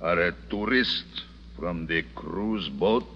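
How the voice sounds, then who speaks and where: A menacing foreign villain in a vintage radio play, mockingly polite. His voice is slow, tense and sharp. The recording is muffled and slightly noisy. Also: sits low, animated, creaky, in a large hall, full character voice. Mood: suavely menacing. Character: menacing foreign male criminal, mockingly courteous. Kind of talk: 1940s radio drama acting.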